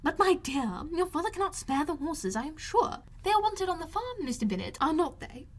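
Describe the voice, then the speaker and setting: A woman voicing an excitable, fussy middle-aged mother in an audiobook, gushing and insistent. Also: very high-pitched, fairly quick, voice pushed up. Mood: scheming, insistent.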